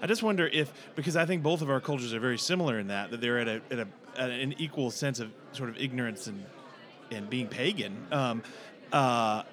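A man hosting a discussion, searching for words with many pauses and fillers. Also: medium pitch, crowd noise. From United States. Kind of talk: casual discussion.

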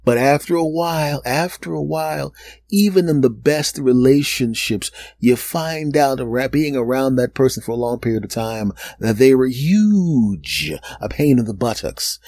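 A man in his fifties hosting a solo podcast, rambling and joking, laughing at his own jokes and dropping into low asides. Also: darkish tone, dry recording. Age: 50s. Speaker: podcast host. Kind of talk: solo comedic podcast monologue.

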